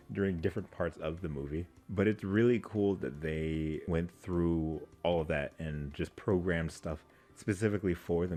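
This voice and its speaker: A man's voice: low-pitched, a little mellow. A man giving casual, wry commentary as the host of a movie reaction video.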